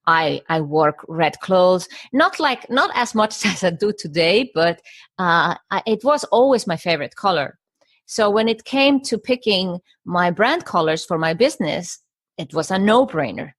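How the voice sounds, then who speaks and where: A woman's voice: on the low side, expressive, unhurried, dry recording. A middle-aged woman from Iceland, a guest on a podcast interview, who talks in short stacked phrases with some fillers and restarts. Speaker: guest, business coach.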